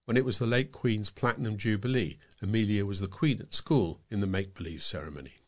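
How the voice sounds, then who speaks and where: Low-voiced British man reading aloud. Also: a little fast. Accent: British English. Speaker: volunteer reader of local news. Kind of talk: scripted narration, reading aloud.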